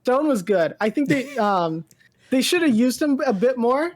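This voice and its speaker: A woman's voice: a little high, animated, moderate pace, crowd noise. A woman co-hosting a pop culture podcast, chatting casually about movies in a rambling way, often repeating and interrupting herself. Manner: rambling, frequent self-interruptions and repeated words. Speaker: podcast co-host.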